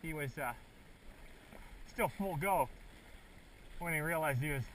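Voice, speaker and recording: A man's voice: on the high side, measured pace. A man chatting casually and joking lightly while fishing outdoors in a river, filming on an action camera. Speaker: amateur angler filming a fishing trip. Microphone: action camera worn or handheld. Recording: distant action-camera audio, loud river noise.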